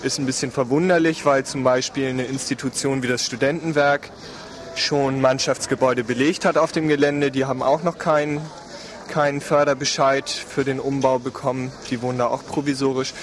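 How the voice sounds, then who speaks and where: A man's voice: mid-range voice, steady tone, moderate pace. A man speaking spontaneously as a member of a housing initiative in an interview. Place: outdoors on a town square. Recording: outdoor street interview with crowd and traffic.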